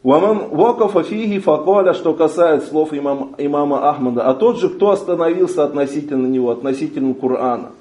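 A man's voice: a little high, fairly quick, few pauses, warm tone, roomy sound. A man teaching a religious lesson, reading a passage aloud and translating it phrase by phrase. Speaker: Islamic teacher.

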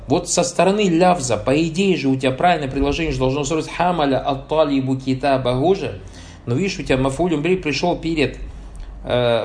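A man with a fairly high voice leads a grammar class. He reads passages, translates them and keeps checking his listeners with quick questions. He works blessing formulas in between points and fixes his own slips on the spot. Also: a little mellow. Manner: asks the listeners short check questions as he goes; slips and corrects himself. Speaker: teacher of Arabic grammar. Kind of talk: explaining a grammar text, reading passages and translating.

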